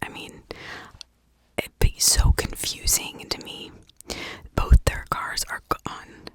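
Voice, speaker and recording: A woman doing ASMR true-crime storytelling in a breathy whisper, chatting from memory with dramatic emphasis on key words. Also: slow pace. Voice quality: breathy, unvoiced whisper with creaky edges.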